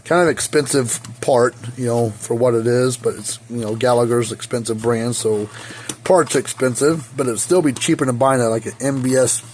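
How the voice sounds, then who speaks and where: A hoarse man of middle pitch talks through a repair job in his workshop, thinking aloud as he works with his hands. He rambles and restarts in a loose, casual way. A phone picks him up with a faint hiss of noise behind him. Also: fairly even intonation, moderate pace, darkish tone. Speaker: repair technician. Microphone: phone camera.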